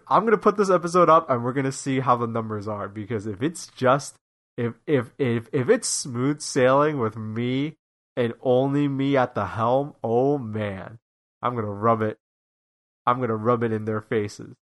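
A man's voice: mid-range voice, fairly bright tone. A man with a nasal voice hosting a podcast alone, chatting casually with self-mocking humor and many restarts. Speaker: podcast host and editor. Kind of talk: casual solo podcast chat.

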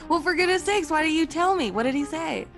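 A woman playing a gently sceptical, concerned friend and narrator in a classic radio suspense play. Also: high-pitched, expressive, moderate pace, music in the background. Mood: curious, gently doubting.

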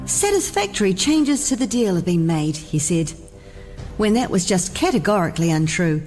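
A woman's voice: on the low side, big pitch swings, normal speed, a little mellow, faint music behind. A woman reading a prepared political commentary in an earnest, indignant tone. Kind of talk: scripted political commentary read aloud.

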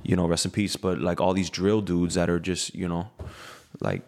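Young Miami DJ, low voice, loose rambling delivery full of fillers and casual swearing, podcast chat. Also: male voice, fairly even intonation, normal speed, gravelly, darkish tone.

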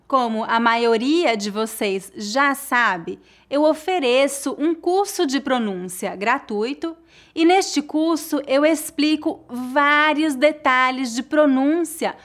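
A woman, a Portuguese teacher narrating a scripted lesson slowly and clearly for learners. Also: high-pitched. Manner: slow, clear, deliberate delivery for learners.

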